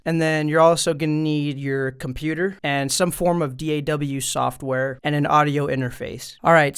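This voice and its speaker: A man's voice: on the high side, a bit bright, smooth. A man presenting a casual step-by-step tutorial, talking informally and directly to viewers into a studio microphone at a desk. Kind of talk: casual step-by-step instructional explanation. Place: indoors at a desk. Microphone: studio microphone through an audio interface.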